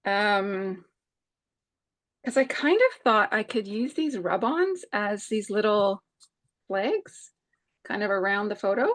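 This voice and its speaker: A woman in her forties co-hosting a live scrapbooking stream, talking in a thoughtful, hesitant way with many pauses. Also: mid-range voice, animated. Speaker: co-host of a live scrapbooking crop. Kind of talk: casual chat while crafting.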